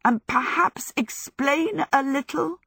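Woman voicing a brisk, tart old woman. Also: high voice, vocal fry, bright, forceful tone, dry recording, pitched above the performer's own voice, heavily acted. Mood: indignant. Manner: brisk, exclamatory. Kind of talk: storybook character voice.

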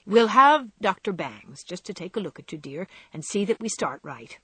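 A woman's voice: medium pitch, sing-song, normal speed, sharp tone, slightly rough, no echo, full character voice. Woman doing a folksy, motherly old housekeeper. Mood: reassuring. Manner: folksy, plain-spoken. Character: capable older housekeeper woman, calm and motherly.

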